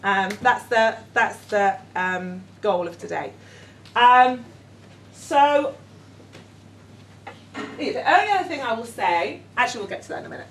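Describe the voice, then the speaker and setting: A woman's voice: on the high side, animated, a little slow, pauses often. London woman giving an echoey workshop talk. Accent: London English. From London, England. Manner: hesitant, many ums, warm and self-deprecating. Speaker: educator leading a workshop. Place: conference room with audience. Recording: echoey room, speaker far from mic, faint noise.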